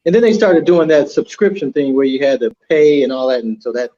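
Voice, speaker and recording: A middle-aged man on a live-stream panel, talking in a halting, hesitant way. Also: medium pitch, lively intonation, warm tone. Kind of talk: casual live-stream chat. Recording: dull lo-fi stream mic.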